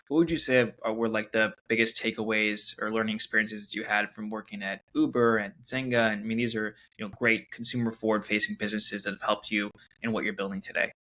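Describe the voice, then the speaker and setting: A man's voice: fairly low pitch, steady tone, brisk, few pauses, sharp tone, poor audio. A man hosting an interview, asking casual, laid-back questions. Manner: laid-back, uses "like" a lot. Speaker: interview host.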